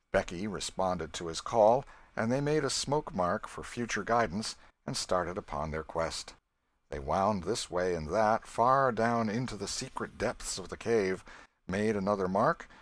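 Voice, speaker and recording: A man's voice: deep voice, a bit bright, no room sound. A man reading a literary story aloud as an audiobook narrator, in a scripted style.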